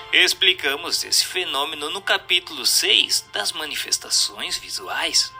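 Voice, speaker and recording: Bright-voiced Brazilian man narrating. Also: fairly high pitch. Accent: Brazilian Portuguese. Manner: didactic, formal. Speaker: narrator reading a book aloud. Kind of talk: scripted audiobook-style narration.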